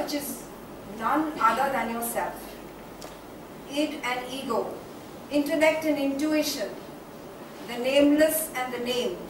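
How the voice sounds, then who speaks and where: A woman's voice: high-pitched, a bit bright. An actress playing a whimsical female poet, delivering a declamatory, dramatic stage monologue. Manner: declamatory, dramatic, with emphatic repeats and drawn-out phrases. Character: theatrical, whimsical female poet musing aloud on art. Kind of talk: theatrical stage monologue, poetic recitation. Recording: distant, very echoey hall, faint noise.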